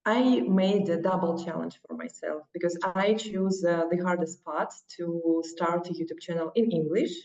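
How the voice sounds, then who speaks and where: A woman's voice: fairly low pitch, steady tone, normal speed, vocal fry, few pauses, darkish tone. A woman with a Russian accent, a non-native speaker, talking as an interview guest in an earnest, encouraging way. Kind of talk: casual interview talk. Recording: echoey, distant, dull lo-fi sound.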